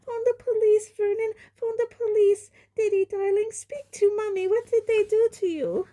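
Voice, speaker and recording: A woman voicing a shrill, hysterical middle-aged mother who panics and fusses over her son. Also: moderate pace, dark tone. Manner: shrieking, panicky.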